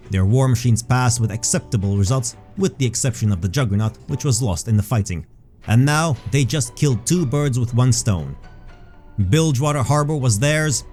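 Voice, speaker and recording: A man with a mellow, mid-pitched voice narrates a fantasy tale. He reads in a measured storytelling way, with faint music underneath. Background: background music.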